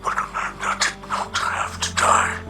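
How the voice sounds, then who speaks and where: Grieving alien captain, harsh hissing rasp, slow halting phrases, humble, solemn, video game drama, music behind. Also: male voice, sharp tone. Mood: grieving, guilty. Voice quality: breathy, rasping, growling alien voice. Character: grieving alien captain, large, guilt-ridden, humble and gentle.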